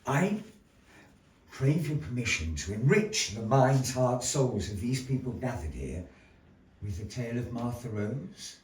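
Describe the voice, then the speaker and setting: Slow-paced male showman, very lively pitch, grandiose old-fashioned diction, live storytelling. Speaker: puppet show presenter and storyteller. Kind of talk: theatrical live storytelling for an audience. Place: gallery live performance, recorded from a distance. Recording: roomy, distant mic with background noise.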